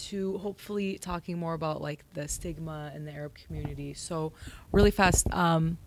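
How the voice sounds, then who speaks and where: Slightly low Californian woman, faint rumble behind. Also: normal speed. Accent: Californian American. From Los Angeles, United States. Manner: peppers speech with "like". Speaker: podcast guest. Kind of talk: casual chat.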